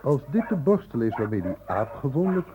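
An actor playing a sharp, precise doctor who questions and explains in a Dutch radio play. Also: male voice, mid-range voice, big pitch swings, a little fast, natural voice. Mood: explaining, confident. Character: sharp, probing male doctor investigating an infection outbreak. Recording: dull, noisy old radio recording.

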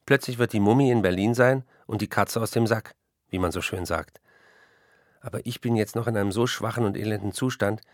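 Casting: a gravelly, low-voiced writer at the end of his strength, dry studio read. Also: no room sound. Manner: subdued, heavy, resigned reading. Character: weary despairing male writer, middle-aged. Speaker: reader of letters and memoirs. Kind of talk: broadcast documentary reading.

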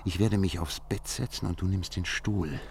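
A cool commanding detective in a radio drama, low voice, deliberate and intense, noisy recording. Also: male voice, steady tone, darkish tone. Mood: hushed, commanding. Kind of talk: German radio drama acting.

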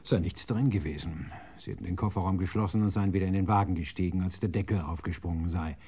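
An actor playing a self-assured police sergeant in a radio crime drama, recounting a case in measured, orderly fashion. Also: male voice, deep voice, fairly even intonation, mellow, husky. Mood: matter-of-fact.

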